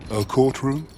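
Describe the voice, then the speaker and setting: A man's voice: medium pitch, darkish tone, slight background noise. A man voicing a brash older barbarian clan chief in a video game, blunt, mocking and unrepentant. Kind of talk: video game cutscene acting.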